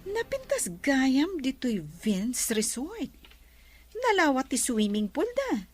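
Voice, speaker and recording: A woman playing a lively, teasing lady boss of a farm resort in a radio drama. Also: high voice, slow pace, music in the background, exaggerated voice. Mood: admiring, dreamy.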